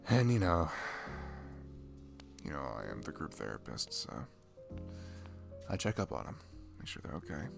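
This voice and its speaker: A man's voice: a bass voice, sing-song, creaky, music in the background, full character voice. A man playing a dorky, shy, lonely young best friend in an intimate ASMR roleplay, rambling hesitantly and putting himself down. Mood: soft, wistful. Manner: hesitant, self-deprecating, halting with fillers and sighs.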